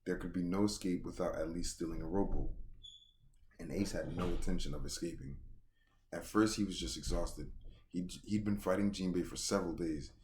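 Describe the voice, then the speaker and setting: A man hosting a casual read-along, reading a story aloud and breaking off for animated, slangy reactions and commentary. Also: deep voice, normal speed, a little hiss or hum. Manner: slangy, animated asides between narrated passages.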